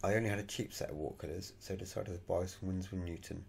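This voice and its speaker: A man's voice: sits low, steady tone, fast, creaky, a little mellow, slight background noise. A man narrating a voice-over for his scale model painting tutorial in a plain, matter-of-fact way, thinking aloud as he goes.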